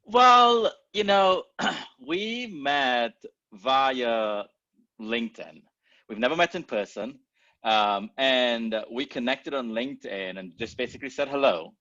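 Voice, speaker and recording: A man from Trinidad and Tobago, a podcast guest talking in an easygoing, relaxed way. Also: mid-range voice, no room sound, lo-fi sound. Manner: relaxed, easygoing, quick to laugh. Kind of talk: casual interview conversation.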